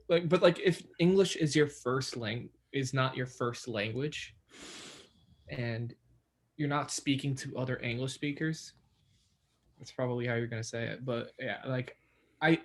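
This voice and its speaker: A man's voice: medium pitch, a little slow. Hesitant young man, casual podcast chat. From New York, USA. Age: young adult. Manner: hesitant, many fillers and restarts. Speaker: podcast co-host.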